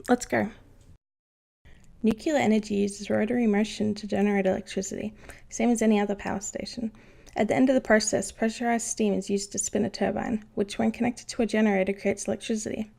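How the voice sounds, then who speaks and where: A woman's voice: medium pitch, level tone, brisk, gravelly, warm tone. A woman playing a knowledgeable, unflappable ship's engineer who explains things patiently in an educational cartoon. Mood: brisk, reassuring. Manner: patient, instructive. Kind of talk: scripted educational explanation in animation.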